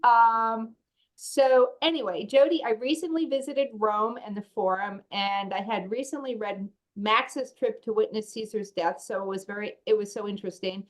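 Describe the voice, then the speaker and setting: A woman's voice: medium pitch, normal speed. A woman informally moderating a video call, reading out questions sent in by the audience. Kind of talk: informal hosting of an online Q&A.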